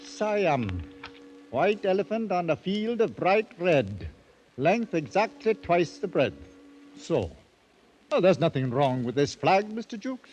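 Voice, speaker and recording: A man acting a stolid, literal-minded ship's captain in a 1940s radio drama, with a pedantic, deliberate manner. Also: high voice, big pitch swings, darkish tone, full character voice, poor audio. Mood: mildly puzzled, reasoning. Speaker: actor playing a ship's captain. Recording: old radio broadcast with background noise.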